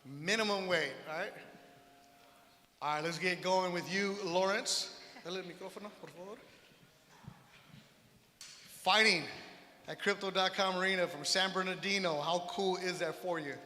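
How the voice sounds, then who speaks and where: A man hosting a boxing press conference, introducing fighters with energetic, hype announcing. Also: high voice, normal speed, pauses often. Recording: reverberant hall with faint background noise.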